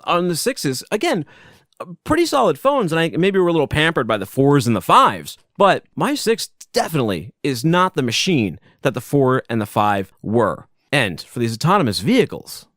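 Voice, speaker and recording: A man hosting a solo podcast from his basement, talking loudly and casually, rambling and chuckling as he goes. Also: on the high side, moderate pace, no room sound, clean studio sound. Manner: animated, rambling, self-interrupting, chuckles often; loud voice. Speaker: podcast host. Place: home basement.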